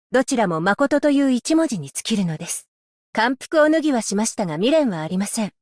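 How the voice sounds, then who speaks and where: A stern, dutiful scholar-magistrate woman with a fairly high voice, voiced by a woman, formal and measured, polite yet strict. She speaks into a close studio mic, bone dry with no room at all. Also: a little mellow, no echo, natural voice. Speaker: voice actress. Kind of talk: game character voice lines.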